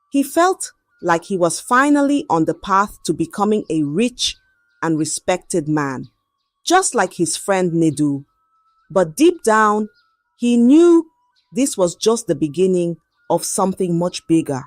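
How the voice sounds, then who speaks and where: A woman tells a folktale in a measured, dramatic way. Her fairly low voice moves through lively rises and falls. The sound is dry and close, with soft music underneath. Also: dry recording. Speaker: storyteller. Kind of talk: scripted folktale narration.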